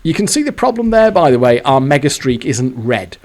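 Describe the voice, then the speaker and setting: Chatty man with a lively voice. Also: mid-range voice, brisk, soft background music. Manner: chatty, exasperated, swears now and then. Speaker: slot machine video host. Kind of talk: casual gameplay commentary.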